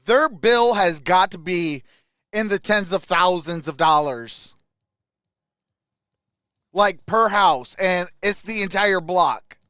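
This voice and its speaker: Guy doing casual sports stream commentary, high clear voice, really dry close sound. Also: lively intonation, slow pace, pauses often, pushed and bright, no echo. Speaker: sports livestream host reacting to a game. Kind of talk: casual live play-by-play and chat with viewers.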